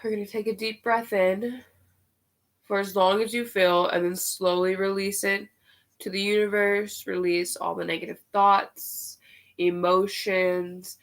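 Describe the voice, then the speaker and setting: A woman with a cold and a nasal voice, unhurried and full of "um" fillers, giving spiritual guidance and leading a meditation on a live stream. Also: medium pitch, little pitch movement. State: sick with a cold.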